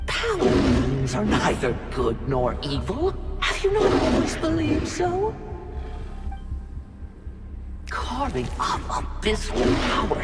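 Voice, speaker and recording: An actor voicing a haughty, sinister dark spirit in a video game, with grandiose, commanding and seductive delivery. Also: sits high, heavily acted. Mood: seductive persuasion. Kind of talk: dramatic game voice acting. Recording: heavy echoing hall reverb under loud music.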